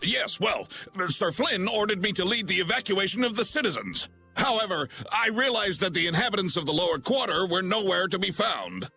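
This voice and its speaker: A bumbling young knight, high bright voice, gravelly edge, lively pitch, stiff and formal. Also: male voice, a little fast, heavily acted. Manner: stiff, stammering, overly formal. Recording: game music underneath.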